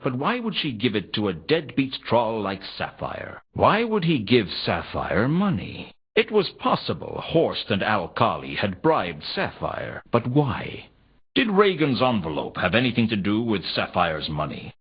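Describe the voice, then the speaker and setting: An actor playing a world-weary, wry noir detective, narrating a game in a deadpan, sardonic way. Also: male voice, lowish voice, measured pace, slightly rough, heavily acted. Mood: dry, suspicious. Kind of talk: scripted first-person noir game narration. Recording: dull lo-fi game audio.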